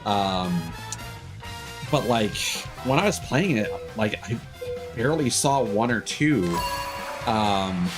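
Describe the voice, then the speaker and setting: A man's voice: lowish voice, lively intonation, takes it slowly, long pauses, rough recording. A man doing casual, rambling and opinionated gameplay commentary as a live streamer. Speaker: video game live streamer. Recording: loud music behind the voice.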